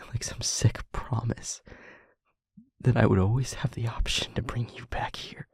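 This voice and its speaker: Anguished demon lover, male actor, audio roleplay. Breathy, husky half-whisper, halting confession, very dry and close sound. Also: on the low side, darkish tone, no echo, exaggerated voice. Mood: bitter, haunted. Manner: halting, slow, emotionally weighted delivery. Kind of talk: intimate dramatic audio roleplay monologue.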